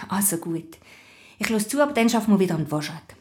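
An actress playing a passionate, headstrong violinist and teacher in a radio drama. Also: female voice, medium pitch, lively intonation, fast, background noise, natural voice. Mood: agitated.

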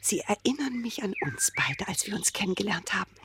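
A woman's voice: mid-range voice, sing-song, brisk, background noise, close to an everyday voice. An actress dubbing a sentimental older wife who whispers fondly to her husband. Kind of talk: film dub.

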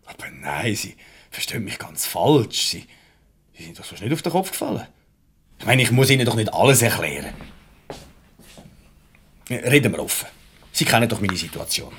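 An actor with a Swiss German accent playing an awkward, patronising middle-aged married boss in a radio comedy, hemming and ingratiating. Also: male voice, fairly low pitch, big pitch swings, normal speed, long pauses, a bit bright, breathy edge, exaggerated voice. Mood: defensive, pleading. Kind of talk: light radio comedy play acting.

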